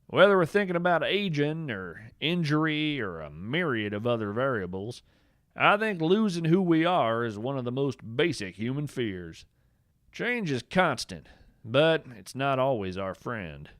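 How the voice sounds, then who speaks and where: A man narrating scripted fiction as a podcast host, with a measured, deliberate and expressive storytelling delivery. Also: medium pitch, dry recording, clean studio sound.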